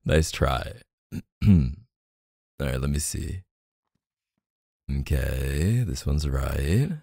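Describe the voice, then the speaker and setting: Man acting as deep, gravelly, teasing boyfriend. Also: no room sound, studio quality, full character voice. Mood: playful, focused. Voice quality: low, gravelly, soft. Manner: teasing, murmuring, hesitant pauses and hums. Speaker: audio roleplay performer. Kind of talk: intimate ASMR boyfriend roleplay.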